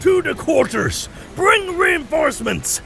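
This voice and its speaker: A man's voice: very high voice, sing-song, slow, vocal fry, bright, forceful tone, soft background music, exaggerated voice. An actor playing a hostile, alarmed pirate crewman, barking out exclamations in an audio adventure. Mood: defiant. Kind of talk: cinematic audio roleplay acting.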